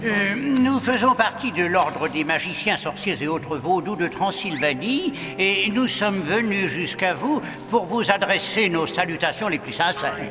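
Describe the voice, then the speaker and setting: An actor voicing a flattering cartoon animal trickster with a mock-formal, smooth-talking manner. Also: male voice, sits high, unhurried, few pauses. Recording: reverberant hall, music behind.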